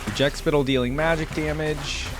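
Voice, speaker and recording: A man's voice: fairly high pitch, steady tone, normal speed. A man hosting a gaming reaction video, talking casually with many "like"s and "um"s and reading ability text aloud. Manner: curious, chatty, many likes and ums, laughs often. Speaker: gaming reaction channel host.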